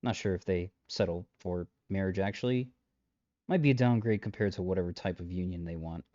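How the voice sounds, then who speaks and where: A man's voice: low voice, brisk, dark tone, dry recording, muffled recording, natural voice. A man playing a shady, flippant matchmaker in a comedic audio roleplay, rambling sarcastically to the listener with breezy menace. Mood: bossy, dismissive. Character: shady, flippant male matchmaker coercing a trapped customer.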